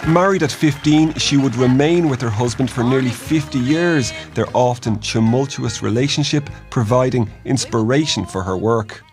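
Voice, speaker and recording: A man narrates a scripted news obituary as a reporter. His voice sits at a middle pitch, with light music underneath. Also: normal speed, few pauses, darkish tone. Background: music behind the voice-over.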